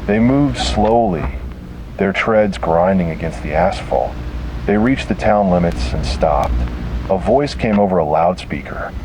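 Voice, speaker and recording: Low-voiced man narrating horror, noisy recording. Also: animated, a little slow. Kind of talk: scripted first-person horror story narration.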